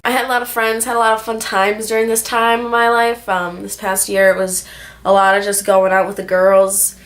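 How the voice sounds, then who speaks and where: A woman's voice: mid-range voice, completely flat delivery, moderate pace, a bit bright. Candid, sweary young woman vlogging. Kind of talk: casual rambling personal vlog, chatty storytelling.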